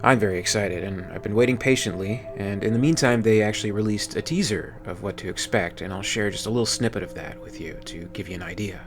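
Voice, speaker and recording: A man's voice: on the low side, quick pace, few pauses, background noise. A man hosting a video channel, delivering scripted commentary.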